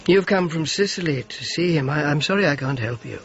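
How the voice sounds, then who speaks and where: A grave, careful friar in a radio play, with a fairly high voice and very lively intonation. He hesitates as he speaks, sounding solid and discreet, on a slightly muffled recording. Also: fairly quick. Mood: grave, regretful. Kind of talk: radio drama dialogue. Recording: dull lo-fi radio drama, faint noise.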